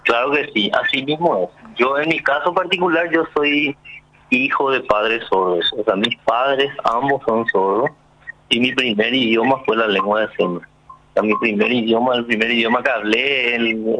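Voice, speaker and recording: A man's voice: mid-range voice, steady tone, normal speed, pushed and bright, slight background noise, rough recording. A man from Paraguay, a sign language interpreter interviewed on the radio. He explains things earnestly, with many fillers and restarts.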